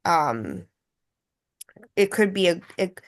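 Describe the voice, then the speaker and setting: A woman's voice: fairly low pitch, very animated, slow pace. A woman taking part in a casual discussion among actors, feeling her way tentatively through her thoughts as she talks. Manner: tentative, thinking aloud.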